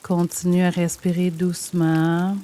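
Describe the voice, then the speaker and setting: A woman guiding a yoga nidra meditation in a gentle, soothing, measured way, leaving pauses between phrases. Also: on the low side. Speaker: meditation guide. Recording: steady rain sound over the voice. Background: rain sound.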